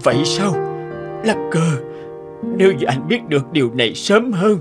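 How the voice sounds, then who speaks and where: A man voicing a sorrowful, hesitant elderly war veteran still in love, in an animated melodrama. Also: a little high, normal speed, loud music behind the voice, natural voice. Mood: wistful longing. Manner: hesitant, wistful.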